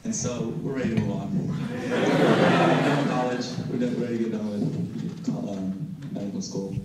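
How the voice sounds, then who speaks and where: A man, a medical student on a panel, speaking in a relaxed, joking way. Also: mid-range voice, moderate pace. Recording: echoey, noisy, far from mic.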